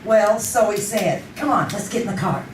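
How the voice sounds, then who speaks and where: Lady doing insistent radio mystery gal, very animated pitch, echoey stage away from mic. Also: normal speed, noisy background, close to an everyday voice. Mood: sly, knowing. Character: stubborn, intuitive female sidekick of a crime photographer. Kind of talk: old-time radio drama acting. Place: community theater stage. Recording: roomy, distant live stage recording.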